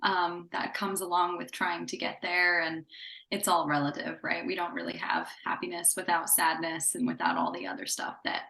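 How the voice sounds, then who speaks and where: A woman's voice: fairly low pitch, moderate pace, creaky, sharp tone, echoey room. A woman in her thirties, a psychotherapist who grew up in Indonesia, talking in a chatty, upbeat way with fillers in a casual interview. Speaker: psychotherapist guest.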